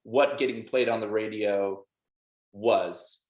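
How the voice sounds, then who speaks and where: A man's voice: lowish voice, steady tone, takes it slowly. A man with an American accent chatting casually as a band member in an interview, recorded with a roomy echo. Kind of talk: casual chat. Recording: echoey, roomy sound.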